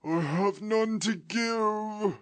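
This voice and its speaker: A man's voice: high voice, dry recording, pitched above the performer's own voice. A man putting on a wailing, chained ghost. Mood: mournful. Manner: slow, drawn-out, lamenting. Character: wailing chained male ghost, doomed, mournful.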